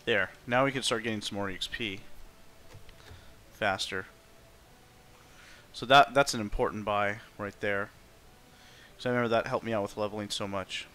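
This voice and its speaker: A man with a bright, forceful voice streams a video game. He talks off the cuff in a casual, conversational way, commenting on his play and chatting to viewers. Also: lowish voice, fairly even intonation, normal speed, long pauses, a little hiss or hum. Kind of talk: casual livestream chat and gameplay commentary.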